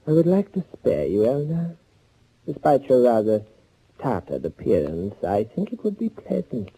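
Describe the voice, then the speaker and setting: An actor playing a smug, sadistic Nazi fugitive who can turn to pleading, in theatrical 1940s radio thriller style with mockingly courteous menace. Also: male voice, medium pitch, huge pitch swings, moderate pace, dark tone, breathy edge, full character voice. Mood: mocking, sinister. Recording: muffled 1940s radio recording.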